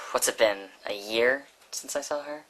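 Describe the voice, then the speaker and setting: Woman doing a very low, deadpan schoolboy puppet. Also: slow, a little hiss or hum, exaggerated voice, rough recording. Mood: glum. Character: glum, sensible male schoolboy puppet. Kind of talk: comic puppet dialogue.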